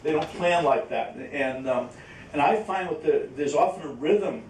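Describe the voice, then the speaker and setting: A man speaking informally at a question-and-answer session, haltingly, repeating words as he explains with examples. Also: darkish tone. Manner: halting, repeats words, illustrates with acted-out quotes. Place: echoey indoor conference room. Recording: roomy, distant mic, faint rumbling noise.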